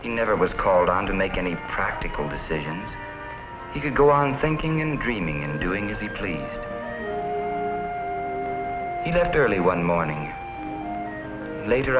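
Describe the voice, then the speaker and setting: An actor playing a man recounting someone's habits in a 1950s educational film drama. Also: on the low side. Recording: dull 1950s film soundtrack.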